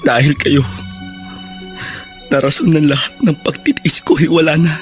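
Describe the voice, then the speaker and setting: An actor playing a bitter, grieving younger brother in a Filipino radio drama, with halting, emotional delivery. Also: male voice, a little high, steady tone, soft background music, close to an everyday voice.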